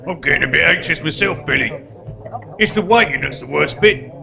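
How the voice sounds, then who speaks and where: Man playing animated, gravelly bungling guerrilla artist. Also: on the high side, a little fast. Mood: anxious. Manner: hesitant, colloquial, with mock-grand turns of phrase. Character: cockney guerrilla artist, bungling, earnest, pretentious would-be robber. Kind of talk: live stage comedy acting. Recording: live stage recording with clear noise.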